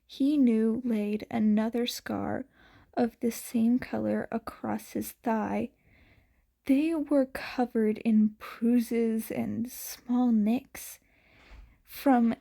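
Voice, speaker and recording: Woman narrator for a fan audiobook: very slow, soft, measured delivery. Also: a little high, creaky. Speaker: podfic reader. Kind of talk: fanfiction audiobook reading.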